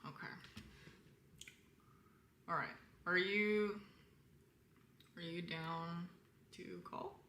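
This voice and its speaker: A young woman hosting a casual live stream, chatting to viewers in a candid, rambling way with a lowish voice. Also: fairly even intonation, drawn-out words, pauses often, sharp tone. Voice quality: lower-toned voice. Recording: roomy echo, faint background noise.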